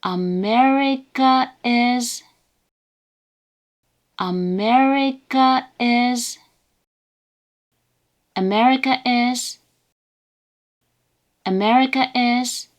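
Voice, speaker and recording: A woman with a high voice gives pronunciation examples for language learners. She speaks extremely slowly, in short isolated phrases, with careful and deliberate enunciation. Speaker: native-speaker example reader in a lesson.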